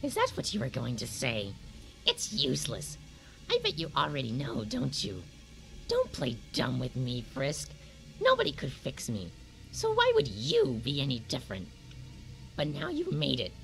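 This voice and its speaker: A woman's voice: a bass voice, wildly swooping pitch, moderate pace, vocal fry, soft background music, heavily acted, poor audio. A woman voicing a bitter, soulless talking flower in a comic dub, scornful and dramatic, swinging from sullen to menacing.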